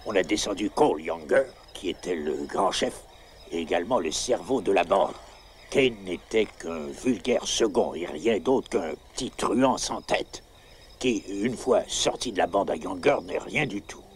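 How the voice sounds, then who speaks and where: Un acteur qui double un habitant docile, lisant un article de journal à voix haute d'un ton simple. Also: male voice, low-pitched, animated, a little slow, a little hiss or hum. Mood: reading. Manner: plain, compliant reading aloud. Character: obliging townsman reading the newspaper aloud. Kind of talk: French film dub.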